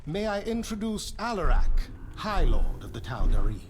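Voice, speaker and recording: A man playing a calm, measured scientific advisor in a video game cinematic, precise and composed. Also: high-pitched, faint music behind. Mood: formal.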